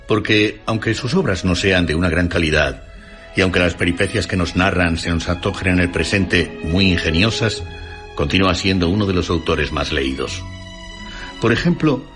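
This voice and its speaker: Low-voiced Castilian man narrating formally. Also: a bit bright. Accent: Castilian Spanish. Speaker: radio documentary narrator. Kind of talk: scripted narration, literary essay. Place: radio studio. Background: faint music.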